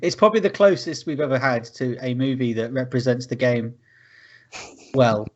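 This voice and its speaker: A man's voice: mid-range voice, moderate pace, lo-fi sound. A man chatting casually as a podcast co-host, opinionated and sweary. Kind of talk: casual group chat about films.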